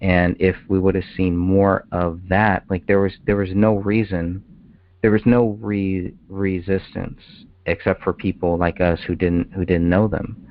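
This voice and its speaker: Low gravelly guy on a tinny conference call, chatting, keeps restarting his sentences. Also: flat delivery, a little slow, no echo. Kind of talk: casual group discussion. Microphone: phone conference call. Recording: muffled, tinny phone conference line.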